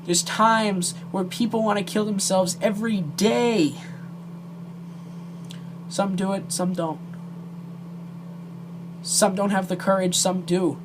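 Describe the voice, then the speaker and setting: Amateur vlogger, mid-pitched voice, heartfelt and halting delivery, clear noise on a homemade recording. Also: fairly even intonation. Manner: earnest, emotional, hesitant. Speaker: amateur video creator. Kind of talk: earnest direct-to-viewer vlog talk.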